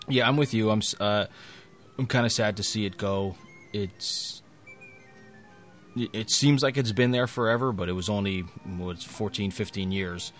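A lower-voiced man co-hosting a podcast in an easygoing, agreeable way. He rambles through his points. Faint music plays under his voice. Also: fairly bright tone. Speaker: podcast co-host. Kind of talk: casual chat.

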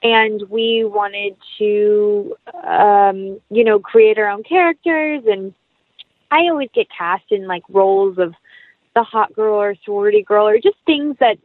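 A woman chats casually as an interview guest, heard through dull, lo-fi audio with little room sound. Her pitch is middling for a woman. She rambles in a talkative, self-deprecating way. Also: unhurried, no echo. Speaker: actress, producer and interview guest. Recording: dull, lo-fi, band-limited audio.

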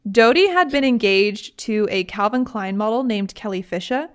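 A young British woman hosting a podcast, telling a story in a casual, chatty way with lively asides. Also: mid-range voice, moderate pace. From Britain. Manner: chatty, animated, frequent laughs and asides. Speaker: podcast host.

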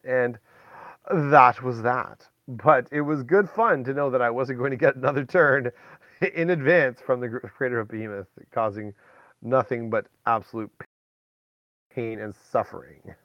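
A man's voice: medium pitch, measured pace, no echo, muffled recording. A man hosting a podcast, telling stories in a casual, chatty way. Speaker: podcast host. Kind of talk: casual storytelling chat.

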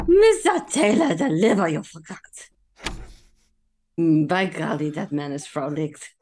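Folksy butcher, played by a woman, very animated intonation, garrulous, broken English, good-natured, radio play. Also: medium pitch, unhurried. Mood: puzzled, jovial. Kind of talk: old-time radio drama table read.

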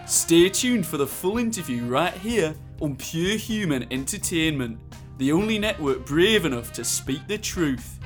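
A man's voice: a little high, expressive, measured pace. An actor in a sci-fi audio drama playing a slick, bombastic male TV network announcer reading promos. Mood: slick, upbeat. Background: plucked guitar music.

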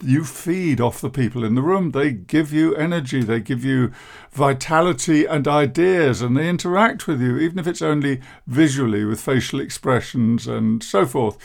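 Deep croaky-voiced older man. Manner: downbeat, measured and self-deprecating. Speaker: podcast host, therapist and coach. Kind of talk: reflective podcast monologue.

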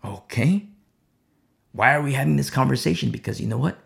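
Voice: medium pitch, expressive, a little fast, creaky. A preacher delivering a sermon, with rhetorical questions and a repeated "you see?".

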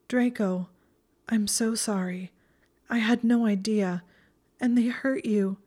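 A woman's voice: medium pitch, normal speed, a little mellow, natural voice. A woman voicing an anguished, guilt-ridden and fierce young female student in an audiobook, urgent and insistent. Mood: anguished, apologetic.

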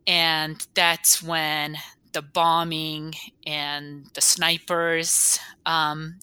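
A woman in her forties from Bosnia shares personal memories as an interview guest. Her voice is low and bright, and she moves slowly and reflectively, with halting phrasing. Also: clean tone, no room sound. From Bosnia (former Yugoslavia). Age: 40s.

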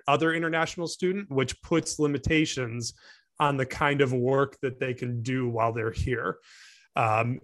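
A man's voice: medium pitch, fairly bright tone. Casual rambling male podcast co-host. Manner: thinking aloud with many restarts and "like". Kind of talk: casual sports podcast chat.

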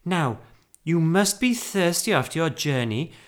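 A man's voice: a little high, sing-song, unhurried, voice pushed up. A man voicing a kindly, decisive mother in a children's storybook, emphatic and organising. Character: kindly, decisive, motherly middle-class woman.

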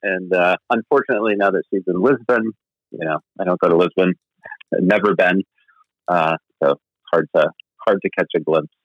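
A man's voice: deep voice, steady tone, normal speed, no echo. A man who is a novelist, talking in a casual interview in a rambling, hesitant, self-deprecating way. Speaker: novelist guest. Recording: slightly muffled dry sound.

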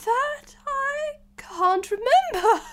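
A woman's voice: very high voice, big pitch swings, drawn-out words, a bit bright, voice pushed up, heavily acted. A woman voicing a timid, wretched man in a storybook reading, pleading and stammering in a tearful, trembling voice.

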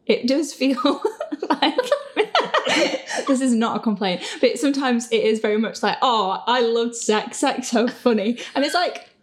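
Giggly, higher-voiced woman chatting casually. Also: unhurried. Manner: animated, often quoting imagined reactions. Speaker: host and podcast creator answering listener questions.

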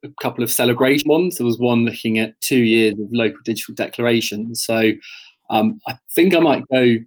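A man's voice: mid-range voice, fairly quick, poor audio. A man who works as an engagement manager, speaking as a podcast guest in a hesitant, modest way with many fillers. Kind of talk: casual chat.

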